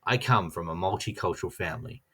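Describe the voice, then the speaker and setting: Bright-voiced Australian man reading earnestly. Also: sits low, quick pace, slightly rough. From Western Australia. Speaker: trainee teacher. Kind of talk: scripted personal narration.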